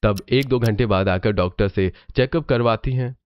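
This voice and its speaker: A man's voice: mid-range voice, normal speed, clean tone, muffled recording. A man reading scripted narration for a cartoon family story.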